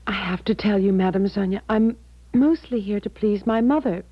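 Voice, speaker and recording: A woman's voice: mid-range voice, moderate pace, no echo, close to an everyday voice. An actress playing a polite, hesitant young engaged woman in a radio drama. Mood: polite, amused. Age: young adult. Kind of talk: 1970s radio drama acting. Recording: muffled 1970s radio broadcast, music behind.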